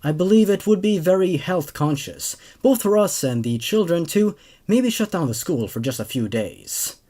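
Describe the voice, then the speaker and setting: An intimidating yet edgy woman teacher in a horror storybook reading , acted by a man. The voice is raised in pitch and lively, with emphatic, carefully chosen phrasing and an exasperated edge. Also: moderate pace. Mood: nervous, careful. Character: nervous, intimidating female school teacher in charge.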